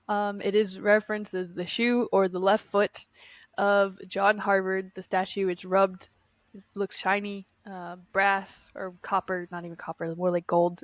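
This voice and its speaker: A woman's voice: medium pitch, no echo. A congested woman co-hosting a podcast, laid-back and jokey in casual chat. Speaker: podcast co-host. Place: recorded in person, dry close sound.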